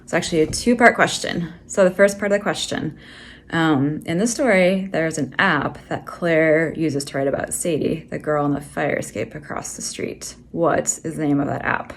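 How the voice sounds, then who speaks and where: A woman author posing a trivia question about her novel in a relaxed, plain way. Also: low voice, creaky, slightly rough. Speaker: young adult author. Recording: faint background noise.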